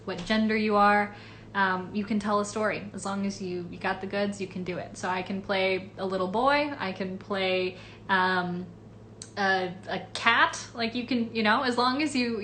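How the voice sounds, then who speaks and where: A woman's voice: mid-range voice, moderate pace, a bit bright, a little hiss or hum. A young woman from Los Angeles, a voice actress casually answering fan questions on a live stream, reading comments aloud with lots of fillers.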